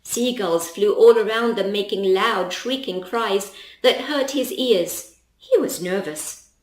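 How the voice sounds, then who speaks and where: A woman reading a children's story aloud as an expressive storyteller. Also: medium pitch, slow. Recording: roomy, echoing voice recording.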